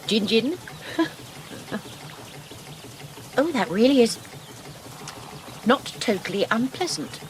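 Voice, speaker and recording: An actress in her thirties playing a prim, proper missionary woman in a radio drama, with a sharp tongue. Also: fairly high pitch, lively intonation, a little slow, noisy background. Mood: tentative. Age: 30s.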